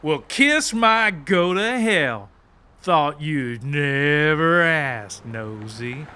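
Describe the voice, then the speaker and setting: Crude loud-mouth cowboy, high bright voice, swoops all over, slow delivery, folksy insults. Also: male voice, a little hiss or hum, heavily acted. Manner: folksy drawling insults and colorful country sayings. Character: brash, crude, cackling country cowboy killer, blind. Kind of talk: audiobook character acting.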